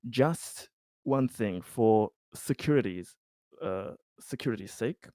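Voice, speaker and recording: A man's voice: on the low side, animated, slow pace, dark tone, dry recording. A man in a tabletop roleplaying game, speaking in character with formal wording broken up by frequent hesitations. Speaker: player. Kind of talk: in-character roleplay as a colony security officer.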